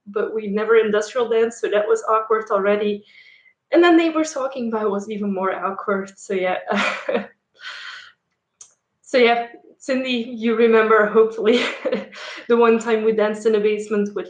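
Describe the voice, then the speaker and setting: A woman's voice: mid-range voice, muffled recording. Chatty young woman, echoey room. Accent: Dutch. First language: Dutch and French. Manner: chatty, frequent fillers and laughs. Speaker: YouTuber. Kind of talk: casual live stream chat.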